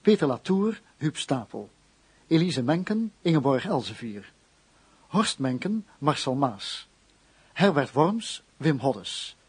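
A man's voice: medium pitch, very animated, takes it slowly, husky. A man announcing radio drama credits in a formal, scripted style.

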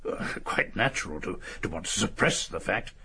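A man's voice: low-pitched, expressive, moderate pace, creaky, sharp tone, breathy edge, full character voice. An actor playing an awkward, probing, middle-aged upper-class English chief constable in a radio drama, hemming as he questions. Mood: awkward, confidential. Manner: hesitant, hemming, man-to-man.

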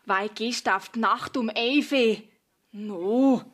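An actress playing a puzzled, plain-spoken rustic maidservant with a Bavarian accent in a dialect radio play. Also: female voice, fairly high pitch, takes it slowly, fairly bright tone. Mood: knowing reluctance.

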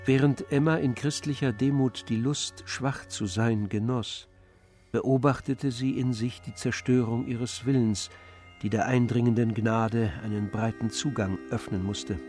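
A man giving scripted literary narration in a radio drama, in a measured, composed manner. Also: mid-range voice, fairly even intonation, a little mellow, faint music behind.

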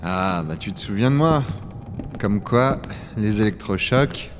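A man's voice: on the low side, lively intonation, measured pace, background noise. A man playing a sceptical dragon hunter who also narrates in a science-fiction audio drama, blunt, sardonic and colloquial. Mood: irritated, sardonic. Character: Owen, gruff, sceptical male dragon hunter. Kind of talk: French audio drama acting and first-person narration.

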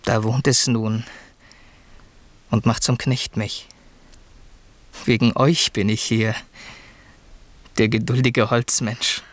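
An actor playing a devoted young prince who toils as a servant, speaking ornate classical radio drama verse in a lofty, declamatory way. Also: male voice, medium pitch, level tone, takes it slowly, long pauses, natural voice. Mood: adoring.

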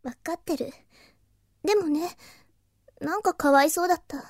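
A childlike catgirl in an anime audio drama. The voice is extremely high and cutesy, recorded dry and close. She speaks softly, with naive kindness. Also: moderate pace, no echo. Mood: pleading. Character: innocent, kind-hearted young catgirl, childlike. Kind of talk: Japanese anime-style audio drama acting.

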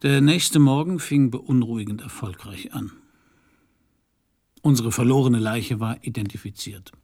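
Gravelly, world-weary private eye. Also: male voice, mid-range voice, unhurried, warm tone. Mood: wry, brooding. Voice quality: husky, gravelly. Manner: laconic, dry, sardonic. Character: wry, world-weary male private detective narrating his case. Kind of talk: German radio crime play narration and dialogue.

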